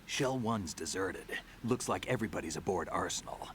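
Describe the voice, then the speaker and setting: An actor playing a gruff veteran male soldier who gives terse, commanding orders. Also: low-pitched, lively intonation, moderate pace, fairly bright tone, slight background noise. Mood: calm. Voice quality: gravelly. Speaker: field operative.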